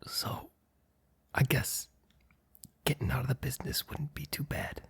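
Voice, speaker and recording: A man playing a guarded, earnest mob boss boyfriend in an intimate ASMR roleplay, speaking in a hesitant, breathy, husky whisper. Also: medium pitch, monotone, unhurried, exaggerated voice. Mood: resigned, earnest. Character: guarded, apologetic young male mob boss, boyfriend confessing.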